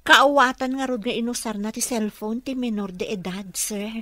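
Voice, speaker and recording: A woman's voice: a little high, normal speed, sharp tone, dry recording. An actress with an Ilocano accent playing a caring, respectful nurse and mother in a radio drama. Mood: concerned.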